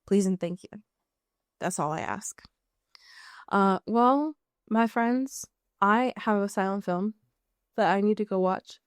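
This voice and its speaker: An American woman co-hosting a podcast in casual, comedic chat, riffing with mock exasperation and drawn-out emphasis. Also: mid-range voice, clean tone, no room sound, clean studio sound. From US Pacific Northwest. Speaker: podcast co-host.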